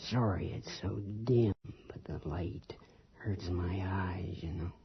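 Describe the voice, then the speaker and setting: An actor playing a frail, reclusive father in a radio drama, halting, gentle and apologetic. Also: deep voice. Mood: eerily gentle. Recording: muffled old radio drama recording.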